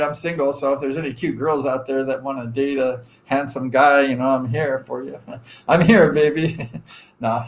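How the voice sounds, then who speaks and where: Chatty rambling man, fairly high voice. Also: a bit bright. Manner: rambling and chatty, self-deprecating joking, frequent fillers. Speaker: live-stream host. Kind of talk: casual live chat with viewers.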